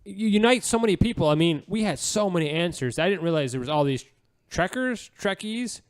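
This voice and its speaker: A man's voice: high voice, expressive, brisk, clear, resonant tone. An American man co-hosting a podcast, chatting casually in an informal, slangy, joking way. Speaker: podcast co-host. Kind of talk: casual, joking panel chat.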